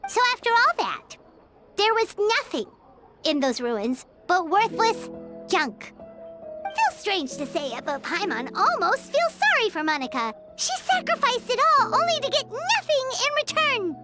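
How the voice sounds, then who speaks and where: A woman voicing a small, naive, excitable fairy companion in a video game, very expressive. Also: very high voice, measured pace, music in the background, exaggerated voice. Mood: disappointed. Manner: refers to self in third person, expressive. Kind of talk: video game character dialogue.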